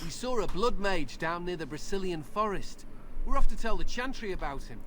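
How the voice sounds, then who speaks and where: Easygoing, trusting traveller for a fantasy game: high, forceful tone with lively swings. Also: male voice, brisk, a little hiss or hum. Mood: friendly. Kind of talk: video game acting.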